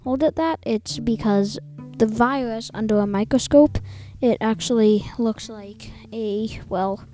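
A quiet-voiced young woman talking casually, with her mouth right up against the microphone so her breathing is audible. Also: a little high, unhurried, faint music behind. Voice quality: quiet, breathy close-mic voice. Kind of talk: casual vlog monologue. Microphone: mic right up to mouth.

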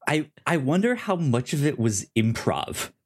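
A man co-hosting a casual review podcast, halting and stammering as he repeats words while he talks. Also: mid-range voice, big pitch swings, darkish tone, husky. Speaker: podcast co-host reviewing a TV show.

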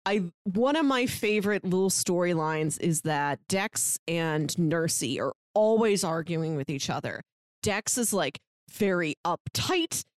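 Woman, lively swooping pitch, casual podcast co-host, expressive retelling, acted-out quotes, dry sound. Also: a little slow, no echo. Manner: animated retelling, acts out quotes. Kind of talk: casual chat.

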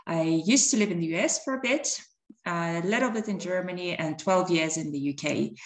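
A woman's voice: on the low side, normal speed, reverberant. A woman from Russia, speaking as a podcast guest, friendly with hesitant ums. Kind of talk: casual interview talk.

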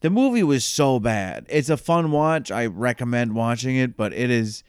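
A man chatting casually about a movie, quick and profane, often laughing. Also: medium pitch, expressive, clean tone, no echo, studio quality. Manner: quick, swearing, laughing banter. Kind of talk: casual movie review chat.

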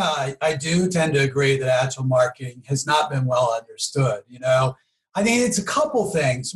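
A man explaining things conversationally as an interview guest, emphatic and often checking in with a quick "okay?" or "right?". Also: fairly high pitch, rough recording. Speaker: podcast guest, marketing professional.

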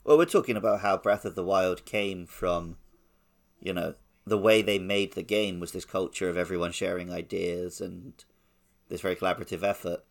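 A man's voice: low-pitched, steady tone, moderate pace, gravelly. A man co-hosting a podcast, talking in a casual, conversational style. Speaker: podcast co-host. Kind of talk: casual chat.

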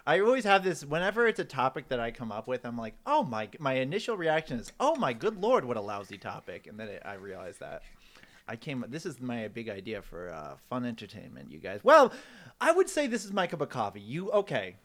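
A man's voice: mid-range voice, very animated, a little fast, a bit bright. A man co-hosting a podcast, rambling through casual comedic riffs in a theatrical way. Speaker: podcast co-host.

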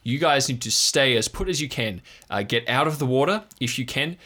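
A man voicing a flustered, earnest park ranger with a halting, nervous, reassuring way of talking. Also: medium pitch, expressive, bright, forceful tone, voice pushed up.

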